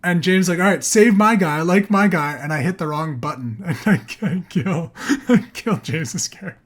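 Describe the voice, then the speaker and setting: A man's voice: fairly high pitch, moderate pace. A man hosting a casual podcast, talking in a slangy, opinionated way with some swearing. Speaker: podcast host.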